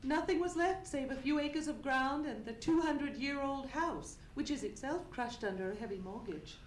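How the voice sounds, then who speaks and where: Roomy noisy stage recording, woman acting high-voiced young lady, fearful, formal, pleading, radio melodrama. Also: normal speed, lightly acted. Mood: polite, subdued. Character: frightened, distraught young gentlewoman seeking help. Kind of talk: live old-time radio melodrama acting. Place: live on a theatre stage.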